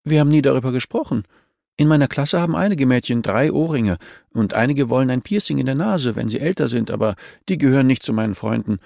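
A man's voice: medium pitch, quick pace, gravelly, a little mellow, no echo, studio quality, pitched above the performer's own voice, natural voice. A man voicing a shy, candid ten-year-old girl with a childlike, simple manner in an audiobook. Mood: candid, slightly sulky. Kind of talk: audiobook character dialogue.

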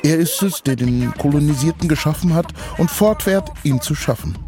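A man's voice: fairly high pitch, expressive, normal speed, music in the background, lightly acted. A man playing a pompous, self-satisfied colonial potentate, lecturing grandly in a satirical stage monologue. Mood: grandiose, lecturing. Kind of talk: theatrical monologue in a satirical revue.

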